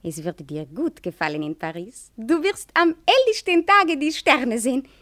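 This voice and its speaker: A woman's voice: high-pitched, sing-song, moderate pace, fairly bright tone. An actress playing a flighty, teasing, mercenary French kept woman in a German radio drama, coquettish and theatrical, sprinkling French phrases into her talk. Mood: seductive, teasing.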